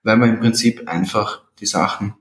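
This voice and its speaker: A man who works as an NLP trainer, explaining his methods in a relaxed conversation with frequent fillers and restarts. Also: lowish voice, normal speed. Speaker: NLP trainer and life coach. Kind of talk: casual podcast conversation. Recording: roomy echo.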